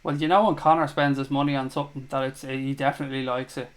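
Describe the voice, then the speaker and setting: A man's voice: medium pitch, level tone, fast talker. A man hosting a live fan chat show, reading viewer comments aloud and answering them in a blunt, jokey, sweary way.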